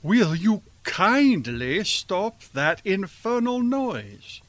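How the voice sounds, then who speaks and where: A man's voice: high voice, big pitch swings, extremely slow, fairly bright tone, no room sound, heavily acted. A man playing an exasperated, weary middle-aged father in a radio drama, with a dry theatrical manner. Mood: exasperated shouting.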